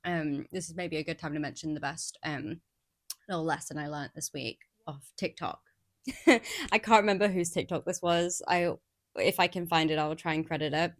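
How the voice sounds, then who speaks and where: A woman's voice: low-pitched, normal speed, dry recording, clean studio sound. A woman in her thirties co-hosting a podcast, chatting casually and acting out her own doubts in quotes. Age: 30s. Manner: animated, acts out her own inner voice in quotes. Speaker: podcast co-host. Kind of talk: casual chat.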